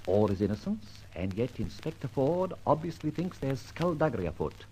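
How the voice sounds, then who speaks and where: Actor playing a flippant gentleman adventurer, wildly swooping delivery. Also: medium pitch, sing-song, moderate pace, close to an everyday voice. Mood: playful, breezy. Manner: theatrical, wry, wordy and playful. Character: flippant, dashing gentleman adventurer, the Grey Goose. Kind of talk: old-time radio detective acting and narration. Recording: noisy old radio drama recording.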